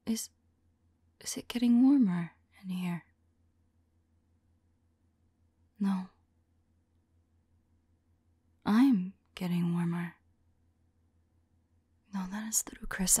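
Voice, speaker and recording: Woman acting a breathy, whispering vampire lover. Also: medium pitch, no room sound, heavily acted. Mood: bewildered, flustered. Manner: halting, soft, musing to a loved one. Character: tender, anxious female vampire, 150 years undead, devoted lover. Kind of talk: ASMR roleplay audio drama, intimate whispering.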